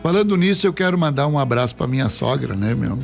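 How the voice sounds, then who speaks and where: Gravelly, jokey southern Brazilian radio host. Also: male voice, mid-range voice, animated, quick pace, soft background music. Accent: Rio Grande do Sul Portuguese. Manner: jokey, chatty, laughs easily. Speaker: radio host reading listener messages. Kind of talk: casual radio chat. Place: radio studio.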